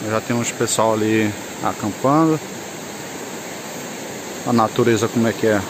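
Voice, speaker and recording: A man's voice: on the low side, moderate pace, noisy background. A man giving a casual, rambling walking tour of a rural campsite, filmed on a handheld camera outdoors. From Northeast Brazil. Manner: chatty, pointing things out, loose and rambling. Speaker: moto travel vlogger. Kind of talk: casual vlog tour narration.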